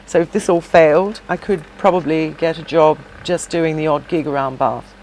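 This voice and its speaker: A middle-aged woman with a low voice talks through her past in a relaxed interview. She is chatty, circles back with fillers and restarts, and has a faint hum behind her. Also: moderate pace, rough recording. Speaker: podcast guest, shop owner and former singer. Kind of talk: casual interview chat.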